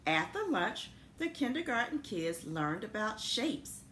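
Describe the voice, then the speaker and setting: Woman, storybook reader for children, unhurried and lively pitch, roomy sound with some noise behind. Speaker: school principal reading a picture book to students. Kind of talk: expressive children's storybook reading. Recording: echoey room with noticeable background noise.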